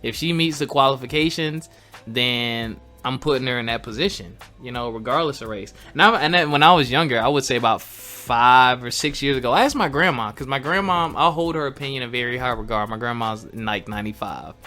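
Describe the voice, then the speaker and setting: A man's voice: medium pitch, a little fast, sharp tone, soft background music. A man co-hosting a podcast, sharing personal views and stories casually in an emphatic, plain-spoken way. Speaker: podcast co-host.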